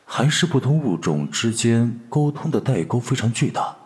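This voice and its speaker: A man playing a cool, fastidious alien parasite posing as a human in an audio drama, detached and disdainful. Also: medium pitch, very animated, noisy background, natural voice. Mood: detached, annoyed. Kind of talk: audio drama dialogue and inner monologue.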